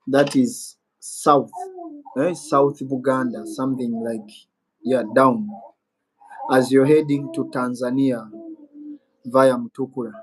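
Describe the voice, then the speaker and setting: A man's voice: medium pitch, poor audio. A man with a Ugandan accent hosting a casual live-stream Q&A, rambling and musing aloud as he reads out viewer comments. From Western Uganda.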